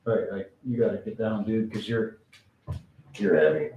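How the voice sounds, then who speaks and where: A man's voice: fairly low pitch, normal speed, lo-fi sound. A man chatting informally and easily with viewers as co-host of a live stream. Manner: informal, easygoing. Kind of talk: casual chat with live viewers. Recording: echoey room, faint background noise.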